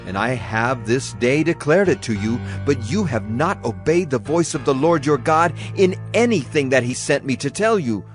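A male actor as a grave prophet of ancient Judah in a dramatized scripture recording. He declaims solemnly and emphatically in a mid-pitched voice, stern and full of warning, with music underneath. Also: lively intonation, normal speed, few pauses, natural voice. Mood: grave, accusing. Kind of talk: dramatized audio Bible acting.

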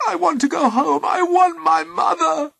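A man voicing a frightened young woman in a storybook, crying out and pleading in a shrill voice. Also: fairly even intonation, a little slow, gravelly, pushed and bright, no room sound, exaggerated voice. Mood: tearful pleading. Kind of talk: storybook character voice.

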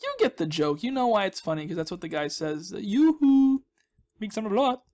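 A man's voice: sits high, very animated, moderate pace, a little mellow. A young man giving casual, playful gaming commentary, rambling and reacting to himself as he plays. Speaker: gaming host. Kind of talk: casual Let's Play commentary.

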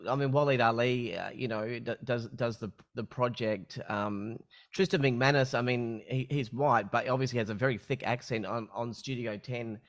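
A man hosting a podcast interview, asking casual questions in a playful, halting way. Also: mid-range voice. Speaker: podcast host.

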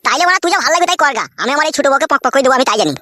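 A man playing an exasperated, crude village husband in a comic cartoon, bickering dismissively in a pitched-up voice. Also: expressive, very fast, sharp tone, no room sound, clean studio sound, exaggerated voice. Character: exasperated, crude village husband caught between two wives.

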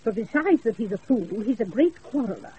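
An actress playing a scolding, quick-witted chambermaid in a comic classical radio play. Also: female voice, on the high side, animated, lightly acted. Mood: saucy. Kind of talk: comic Shakespearean radio acting. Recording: thin phone-like hissy off-air radio recording.

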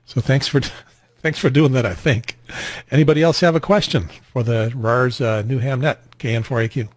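An American man in his sixties hosting a radio net in casual chat, rambling and halting, on a close mic in a small home room. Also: medium pitch, slight background noise. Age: 60s. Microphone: close boom studio mic, headset on.

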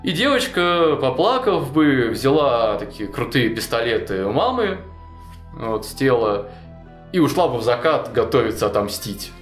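A man's voice: medium pitch, normal speed. Young man telling a story, roomy room. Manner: animated, many fillers like "вот" and "ну". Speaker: storyteller guest. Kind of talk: casual storytelling chat. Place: studio with chalkboard wall. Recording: roomy studio with faint music.

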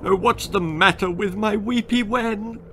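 A man voicing a big, soft-hearted father who weeps and gushes over his daughter. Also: sits high, measured pace, a little hiss or hum, voice pushed up. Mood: weepy. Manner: weeping, gushing.

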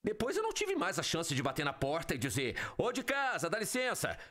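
An actor playing a defiant, sarcastic military major in an audio drama, sardonic and emphatic. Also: male voice, sits high, wildly swooping pitch, a little fast, sharp tone, full character voice. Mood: defensive, sarcastic.

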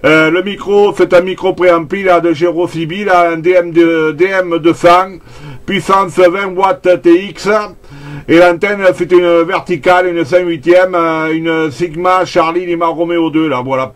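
High-voiced Frenchman chatting over CB radio. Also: fairly even intonation, unhurried. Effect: CB radio transmission. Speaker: amateur CB radio operator. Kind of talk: casual radio contact chat.

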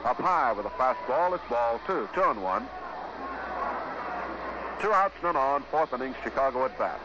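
A man calling baseball play-by-play in 1950s radio style, in measured, clipped phrases with pauses. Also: mid-range voice, very animated. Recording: noisy, dull vintage 1950s radio broadcast.

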